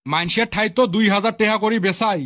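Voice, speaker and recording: A man's voice: high-pitched, quick pace, pushed and bright. A man voicing an eager, pushy market cloth seller in a comic cartoon, coaxing customers with sales patter. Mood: persuasive. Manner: coaxing, hawking.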